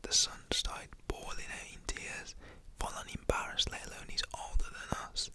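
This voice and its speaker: A person with a British accent whispers a casual, slangy personal story in an ASMR-like style. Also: normal speed. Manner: informal, slangy, acts out quoted shouts in a whisper.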